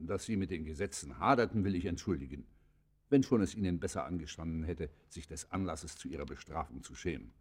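An actor playing a stern yet pitying judge, formal and admonishing, in a classic German radio play. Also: male voice, rumbling low, brisk, slightly rough. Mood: reproachful. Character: stern, pitying male judge questioning a criminal.

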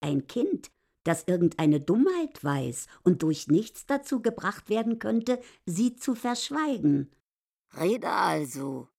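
Imperious, creaky-voiced landlady. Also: mid-range voice, sing-song, slow pace, a little mellow, full character voice. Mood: condescending. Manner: imperious, probing, mocking. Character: haughty, suspicious, domineering village inn landlady. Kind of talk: German literary radio drama acting.

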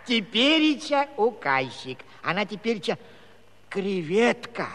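High, swooping gossip, slow-spoken. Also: male voice, very animated, fairly bright tone, big-room sound, exaggerated voice. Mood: mock learned, alarmed. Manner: rambling, stumbling over big words. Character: excitable gossipy Cossack villager spreading alarming news. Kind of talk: theatrical comic musical dialogue.

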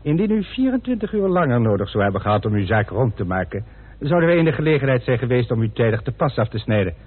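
An actor playing a smug, ornately polite forensic doctor, pompous and formal, in a Dutch radio play. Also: male voice, mid-range voice, big pitch swings, quick pace, breathy edge. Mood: smug politeness. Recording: muffled, band-limited old radio recording.

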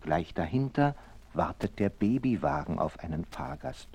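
A man narrating a scripted children's story in a measured, expressive way. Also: on the low side, vocal fry, husky.

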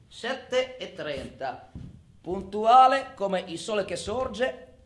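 Volatile, obsessive prison inmate. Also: male voice, sits high, big pitch swings, a bit bright, slight background noise, full character voice. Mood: emphatic, rigid. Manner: swings from muttered confiding to barked, drawn-out announcements. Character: long-serving male prison inmate, obsessive about order, solitary, sardonic. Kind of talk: theatrical monologue, colloquial and profane.

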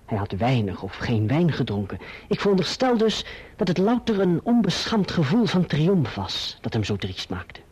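Earnest young gentleman in radio drama: formal, husky, expressive pitch, muffled recording. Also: normal speed, heavily acted. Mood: resentful, reflective. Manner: formal, literary. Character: earnest, mannerly young gentleman recalling his past. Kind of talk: radio play narration and dialogue.

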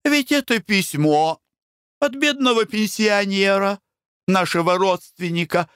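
A man voicing a proud young woman who speaks high and emphatically. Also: measured pace, fairly bright tone, clear, resonant tone, dry recording, studio quality. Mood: indignant.

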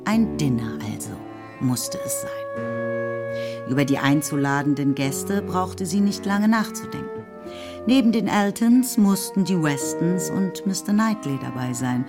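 A woman's voice: lowish voice, measured pace. A woman reading scripted literary narration as the narrator of a radio play. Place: radio studio. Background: music.

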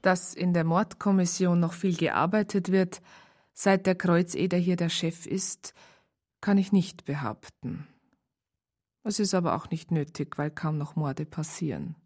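An actress playing a wryly observant police psychologist, narrating a radio crime drama in a measured way. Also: female voice, lowish voice, a little mellow. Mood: wry, matter-of-fact.

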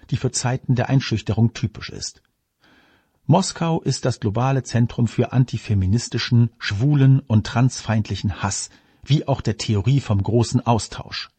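A man reading a scripted political commentary aloud in a measured, formal manner. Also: medium pitch, mellow, husky, no room sound.

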